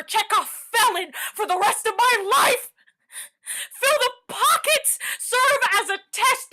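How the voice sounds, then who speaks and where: A bitter, defiant woman prisoner facing the end of the world on stage. Her voice is very high and bright, with a slow, deliberate delivery. She is profane and impassioned, moving between low muttering and shouts. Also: exaggerated voice. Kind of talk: theatrical staged-reading monologue.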